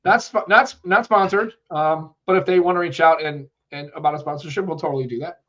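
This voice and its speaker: A man's voice: fairly high pitch, brisk, a bit bright, lo-fi sound. A man co-hosting a live tech podcast, a bit congested from a cold, rambling and reading out chat messages. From United States. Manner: rambling, chatty, reads out chat comments. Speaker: podcast co-host. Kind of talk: casual live-stream chat.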